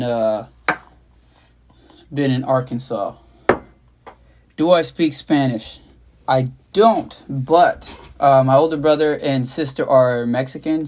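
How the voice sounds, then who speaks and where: A man's voice: medium pitch, a little mellow. A young man hosting a casual livestream, rambling loosely, laughing at himself and swearing offhandedly from his home studio. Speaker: rapper and beatmaker, livestream host.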